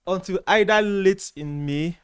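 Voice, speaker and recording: Host guy, smooth clear voice a bit high, earnest advice, dry sound. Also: a little slow, no room sound. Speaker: channel host. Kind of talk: casual group chat.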